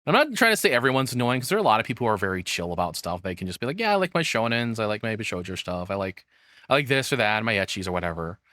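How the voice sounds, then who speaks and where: A man with a fairly low, bright and forceful voice talks in a very dry recording. He rambles casually through his opinions like a streamer reacting, blunt and freely cursing. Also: big pitch swings, racing, no echo.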